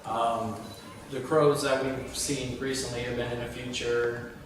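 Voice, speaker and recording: A man's voice: medium pitch, level tone, unhurried. Male screenwriter, casual panel answers, recorded far from the mic with heavy echo. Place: indoor room at a table read event. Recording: distant, very echoey, noisy room.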